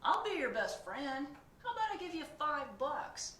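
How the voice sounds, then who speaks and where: A woman voicing an excitable, whiny male pigeon who begs and pleads melodramatically in a storybook read-aloud. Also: high voice, moderate pace, pitched above the performer's own voice. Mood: wheedling. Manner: wheedling, begging, melodramatic. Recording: echoey room, distant mic, faint noise.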